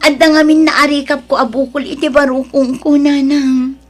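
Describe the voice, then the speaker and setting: A woman's voice: sits high, little pitch movement, moderate pace, a little hiss or hum, natural voice. A woman acting a worn-down, sorrowful wife and mother in an audio drama, plaintive and often tearful. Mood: anxious, tearful.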